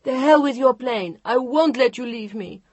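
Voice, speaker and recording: A furious young Frenchwoman screams and pleads in a high, husky voice. Her lines cut through a dry, close recording. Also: expressive, moderate pace, no room sound, muffled recording. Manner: shrill, shouting, tearful. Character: furious jealous French mistress, young woman. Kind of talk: audiobook character voice.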